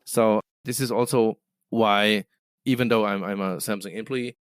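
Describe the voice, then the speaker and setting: A middle-aged man with as his talks through interview answers in a resonant, smooth voice. He hesitates often, filling gaps and restarting phrases. The sound is dry and close. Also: on the low side, steady tone, moderate pace, dry recording. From Germany. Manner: hesitant, many fillers and restarts. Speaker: guest, business development manager.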